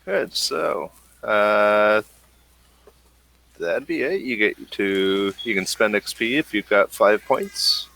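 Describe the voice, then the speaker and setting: A man's voice: fairly low pitch, slow pace, gravelly, pushed and bright. A man acting as game master, asking players questions in a tabletop game wrap-up. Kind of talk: running end-of-session tabletop game recap.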